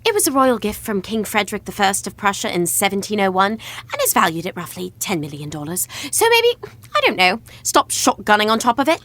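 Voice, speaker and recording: A woman's voice: mid-range voice, wildly swooping pitch, a bit bright. A sarcastic young know-it-all whose mid-range voice swoops wildly. She is exasperated and precise, rattling off her audio drama lines with pointed emphasis. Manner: precise, sarcastic, rapid-fire.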